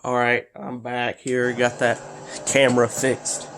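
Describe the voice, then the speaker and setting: A man's voice: mid-range voice, completely flat delivery, slow pace, a bit bright. A teenage man, congested from allergies, rambling with many fillers while commenting on a racing game. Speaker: gaming video creator.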